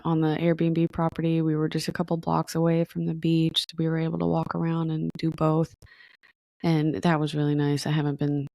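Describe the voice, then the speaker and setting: A tired, hoarse woman hosting her podcast, chatting casually and rambling with fillers and restarts. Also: deep voice, dark tone, dry recording. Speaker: podcast host. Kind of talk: casual solo podcast chat.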